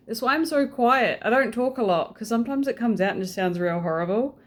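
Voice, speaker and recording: A woman's voice: on the high side, a little fast. A woman with a New Zealand accent, rambling casually to camera in a vlog, with many ums and restarts. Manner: hesitant, many fillers and restarts. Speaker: vlogger.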